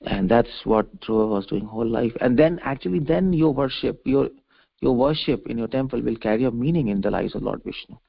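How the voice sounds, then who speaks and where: Man, scripture lecture, fry-edged voice, emphatic, repetitive, stop-start phrasing, lo-fi conference call. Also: medium pitch, lively intonation. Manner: emphatic, repetitive, halting phrases with restated words. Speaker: preacher giving a scripture lecture. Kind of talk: religious discourse, explaining a verse. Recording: dull conference-call audio.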